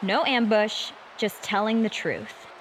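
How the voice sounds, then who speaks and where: A woman's voice: medium pitch, very animated, measured pace, background noise. A woman playing an indignant pro wrestler who hosts an interview segment, speaking with accusatory emphasis in a scripted promo. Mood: accusing. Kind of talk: scripted sports-entertainment promo.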